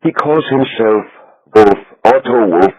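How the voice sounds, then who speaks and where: A man's voice: on the low side, takes it slowly, a little mellow, slightly rough, dull audio, pitched above the performer's own voice. A man voicing a prim, nervous middle-aged Swiss banker who speaks in a fussy, precise, defensive way. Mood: guarded.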